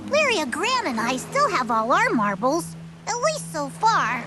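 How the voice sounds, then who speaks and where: Small game mascot creature, very high and lively, cheeky and excitable, over loud music. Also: female voice, measured pace, heavily acted. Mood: puzzled. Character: small squeaky cheeky flying lizard companion. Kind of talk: video game voice acting.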